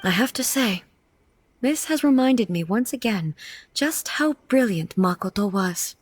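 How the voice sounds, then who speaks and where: A woman voicing a composed, regal female deity ruler in a game, speaking in a measured, formal and dignified way. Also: medium pitch, darkish tone, no echo, lightly acted. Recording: game audio with faint music behind.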